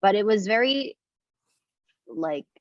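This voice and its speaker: A woman's voice: mid-range voice, animated, takes it slowly, no room sound. Reflective woman, dull lo-fi sound. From Indonesia. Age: 30s. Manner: reflective, many fillers like "like" and restarts. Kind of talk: casual conversation.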